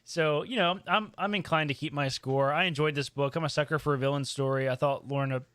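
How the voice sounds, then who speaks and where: Slightly high-voiced man, dry casual podcast talk. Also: steady tone, brisk, fairly bright tone, smooth, no echo. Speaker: podcast panelist. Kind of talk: casual discussion.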